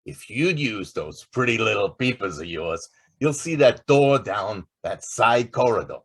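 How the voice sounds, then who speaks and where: A man playing a determined, wisecracking newspaper reporter with a husky voice in an old time radio drama. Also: on the low side, animated, unhurried, exaggerated voice. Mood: confident, scheming.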